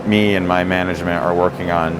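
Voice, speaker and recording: Man, store manager, low voice, easygoing walk-through talk, steady background hum. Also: fast. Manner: relaxed, many fillers and restarts. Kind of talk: casual store tour talk. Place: grocery store aisle.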